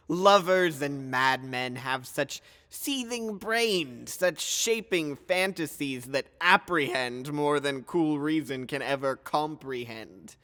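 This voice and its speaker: A man playing a grand, genial yet skeptical duke of Athens, declaiming in a measured, theatrical Shakespearean style. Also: fairly high pitch, a bit bright. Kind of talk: theatrical Shakespearean audio drama acting. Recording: long reverberant hall echo.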